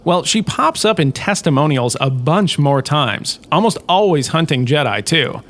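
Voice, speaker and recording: A man with a somewhat high-set voice narrates like a history lecturer. He hosts in a casual, wry manner and puts firm emphasis on his key points. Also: lively intonation, moderate pace, fairly bright tone. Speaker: host, in-character history lecturer. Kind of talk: scripted lecture-style narration of fictional history.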